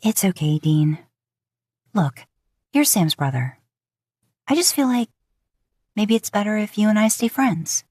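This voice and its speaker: A narrator plays a young woman in an audiobook, her pitch lifted above the low narration. She is cautious and easily flustered, hesitant and guarded, in a dry close recording. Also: animated, a little slow, long pauses, dark tone, no echo. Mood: gently declining. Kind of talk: acted audiobook dialogue.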